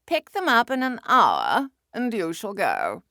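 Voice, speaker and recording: Brief: a wicked stepmother, haughty and mocking, lurching from shrill to deep, as a woman reader puts her on, storybook reading. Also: huge pitch swings, unhurried, pushed and bright, dry recording, clean studio sound, full character voice. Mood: scornful. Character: cruel scornful stepmother, middle-aged woman.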